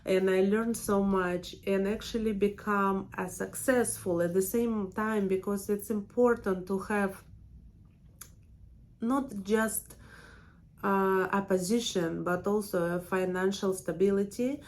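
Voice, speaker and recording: A woman's voice: mid-range voice, steady tone, takes it slowly. A woman in her thirties from Siberia with a strong Russian accent, chatting casually in a personal vlog and laughing often. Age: 30s. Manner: chatty and warm, laughs often, many fillers. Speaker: permanent makeup trainer and channel host.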